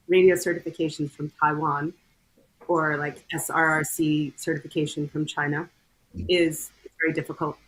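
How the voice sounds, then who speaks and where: Low, husky-voiced woman explaining. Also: rough recording. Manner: measured, explanatory. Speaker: guest, hardware audit committee member. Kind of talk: casual podcast chat.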